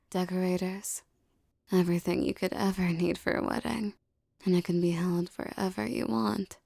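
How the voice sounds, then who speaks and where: A woman as a mellow, tough, doting mafia girlfriend. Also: lowish voice, creaky, dry recording, clean studio sound. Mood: doting, promising. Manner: soft, intimate, slangy tough talk with tender teasing. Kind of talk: ASMR romance roleplay, intimate scripted acting.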